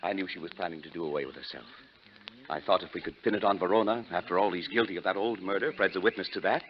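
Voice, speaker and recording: A man's voice: low-pitched, fast talker, close to an everyday voice. An actor playing a scheming, defensive middle-aged stepfather, well-off, in a 1940s radio drama. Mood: defensive, insistent. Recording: dull, noisy 1940s radio recording.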